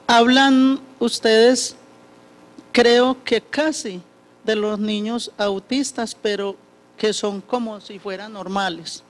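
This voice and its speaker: Casting: man, high voice, unhurried and halting, audience member giving testimony. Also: rough recording. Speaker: parent speaking from the audience. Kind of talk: personal testimony at a conference.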